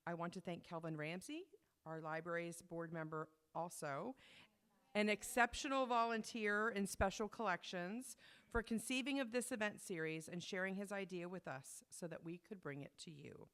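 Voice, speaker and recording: A woman with a somewhat low voice hosts a library event. She reads the speaker's introduction into a podium microphone. Her delivery is formal and measured. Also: a bit bright. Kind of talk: read introduction.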